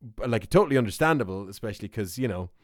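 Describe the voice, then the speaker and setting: A man's voice: on the low side, expressive, fast talker, dry recording, studio quality. A man hosting a podcast in a playful, jokey way, interviewing guests about a film. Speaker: podcast host. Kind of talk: casual chat.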